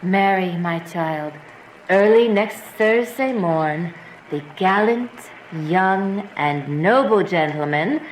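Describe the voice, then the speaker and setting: A woman's voice: lowish voice, animated, slow. Woman playing echoey, stern noble mother. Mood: brisk, pleased. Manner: formal, imperious. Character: Lady Capulet, stern middle-aged noble mother, cold and vengeful. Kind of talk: Shakespearean radio drama acting. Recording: echoey room, faint background noise.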